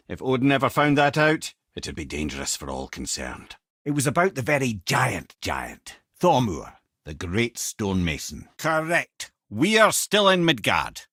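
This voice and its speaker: A learned old Scottish storyteller for a video game. His pitch swings widely as he moves through wry, wordy explanations and tales. The voice sits at a medium male pitch and is recorded very dry and close, with no room sound. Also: no echo. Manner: wry, animated, erudite storytelling.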